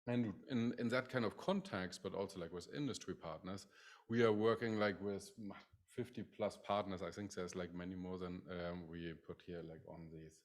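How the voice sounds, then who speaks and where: Middle-aged German-accented man, low voice, professor giving a conference talk, filler-heavy. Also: fairly even intonation, moderate pace. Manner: many "like" fillers, restarts. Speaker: professor presenting his lab. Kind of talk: conference presentation.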